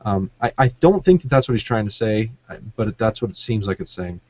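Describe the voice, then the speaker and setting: A man's voice: fairly low pitch, expressive, fairly quick, a little mellow, no room sound, muffled recording. A man co-hosting a casual book discussion, thinking aloud in a hesitant way. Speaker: podcast co-host.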